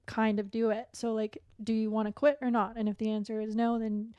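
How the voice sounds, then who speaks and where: Higher-voiced woman, halting and reflective. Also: flat delivery, smooth, dry recording, muffled recording. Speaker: podcast guest, competitive athlete and coach. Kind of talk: candid casual interview chat.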